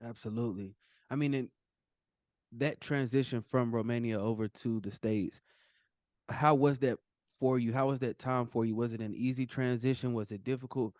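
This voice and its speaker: A man's voice: medium pitch, steady tone, normal speed, darkish tone, no echo, studio quality. A man hosting an interview, asking questions in a hesitant way with many fillers. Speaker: host. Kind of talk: podcast interview.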